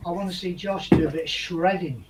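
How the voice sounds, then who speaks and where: High-voiced chatty man, distant mic. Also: steady tone, a little fast, over a noisy crowd. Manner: chatty, laughs easily. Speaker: guest musician. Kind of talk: casual chat.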